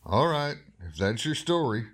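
An actor plays an older small-town doctor in a radio horror drama. His voice is mid-pitched and folksy. He talks in a measured, plainspoken way with a skeptical, suspicious edge. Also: sing-song, fairly bright tone.

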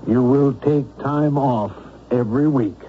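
A man's voice: mid-range voice, measured pace, close to an everyday voice. A man playing a kind, firm old chief surgeon. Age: older. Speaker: doctor. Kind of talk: 1970s radio drama acting. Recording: muffled old radio broadcast with faint hiss.

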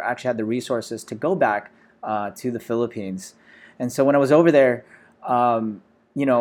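An American man in his forties, a startup founder telling his story in a relaxed interview, full of "you know" and "uh". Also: mid-range voice. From Los Angeles, USA.

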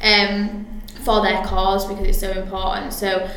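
A woman's voice: medium pitch, completely flat delivery, fairly bright tone, slight background noise. Chatty woman, very echoey room. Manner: chatty, lots of fillers and restarts, quick to laugh. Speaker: guest copywriter. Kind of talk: casual podcast interview.

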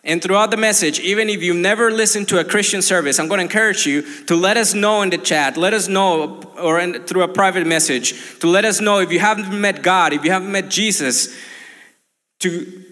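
A man's voice: sits high, fairly quick, pushed and bright. A young man from Colombia, a native Spanish speaker with a Colombian accent, preaching an earnest sermon full of restarts. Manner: earnest, many restarts and fillers. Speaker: pastor. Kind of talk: livestreamed sermon. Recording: long hall reverb.

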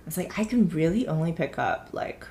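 Chatty female guest from China, low voice, thoughtful and relaxed. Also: normal speed. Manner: chatty, thoughtful, frequent fillers. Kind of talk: casual chat.

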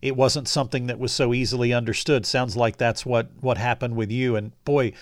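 A man's voice: mid-range voice, dry recording, clean studio sound. A man hosting a faith interview podcast, earnest and reflective, drawing out key phrases. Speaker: host.

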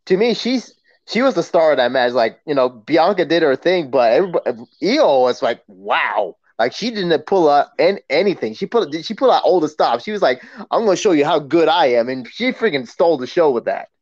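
A man with a somewhat high voice chats as co-host of a wrestling podcast. His delivery is casual and slangy, the way fans recap a show. The recording is a little dull and lo-fi. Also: very animated, brisk.

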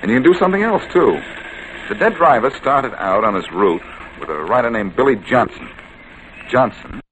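An actor playing a cool-headed, wisecracking amateur detective in 1940s radio style, flippant and teasing. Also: male voice, mid-range voice, sing-song, moderate pace, a bit bright. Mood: thoughtful. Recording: noisy old-time radio recording.

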